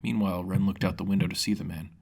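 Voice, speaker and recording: Husky-voiced man narrating fantasy. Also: low voice, level tone, fast talker, darkish tone. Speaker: storyteller. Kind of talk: casual fantasy story narration.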